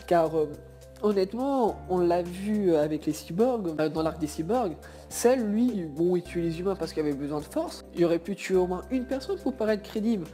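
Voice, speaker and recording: A woman with a mellow, slightly low voice gives an off-the-cuff fan opinion. She rambles emphatically in colloquial language over soft background music. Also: animated, moderate pace. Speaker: guest debater, anime fan. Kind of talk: casual improvised opinion monologue.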